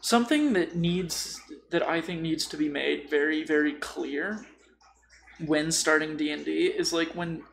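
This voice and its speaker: A man's voice: fairly high pitch, unhurried, vocal fry, fairly bright tone. A man chatting casually as a podcast co-host and dungeon master, blunt and given to swearing. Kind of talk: casual chat about tabletop role-playing. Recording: faint background noise.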